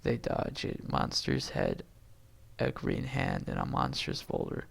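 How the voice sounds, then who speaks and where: A husky-voiced man reading a film script aloud, stage directions and dialogue alike, stumbling and correcting himself and breaking off into asides. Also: mid-range voice, wildly swooping pitch, measured pace. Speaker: amateur reader doing a long script read-aloud.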